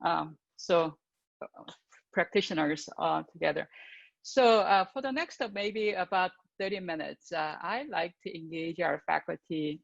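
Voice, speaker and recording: A woman's voice: fairly low pitch, measured pace, creaky, no room sound. An older woman, a dean moderating a faculty panel on a video call, introducing speakers in brief, hesitant remarks. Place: home living room with pictures. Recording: dull, dry webcam audio.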